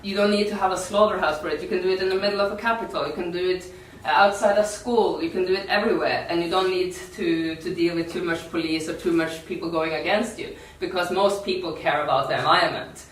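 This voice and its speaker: A woman's voice: lowish voice, steady tone, a little hiss or hum. Norwegian woman speaking in an echoey room. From Norway. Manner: halting, repeats words, non-native English. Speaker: activist workshop speaker. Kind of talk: informal workshop talk. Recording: echoey room, distant mic.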